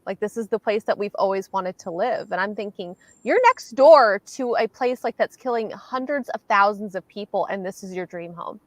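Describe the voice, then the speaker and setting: Dry-sounding woman, halting casual storytelling. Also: medium pitch, dry recording, rough recording. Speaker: host of reading livestream. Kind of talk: casual chat.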